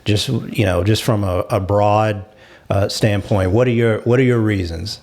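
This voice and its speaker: A man's voice: fairly low pitch, steady tone, measured pace, darkish tone. A man hosting a panel discussion, thinking aloud in an earnest, conversational way. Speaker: show host.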